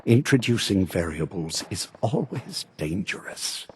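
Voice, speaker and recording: A man playing a cagey, businesslike handler in a video game, speaking in a guarded, formal, measured way with a husky voice. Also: low-pitched, sing-song, a little mellow, big-room sound, a little hiss or hum. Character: cagey businesslike male handler smuggling a secret package. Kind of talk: video game character dialogue.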